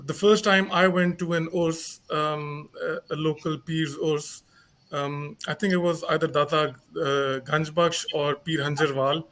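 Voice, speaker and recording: A man moderating a panel discussion, speaking hesitantly with many filler pauses. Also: a little high, fairly bright tone.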